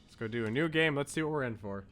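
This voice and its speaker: A man with a medium-pitched voice hosts a video game livestream. He talks casually to his viewers, rambles into asides and reads text off the screen. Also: expressive, fast, a bit bright, faint music behind. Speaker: streamer, video game host.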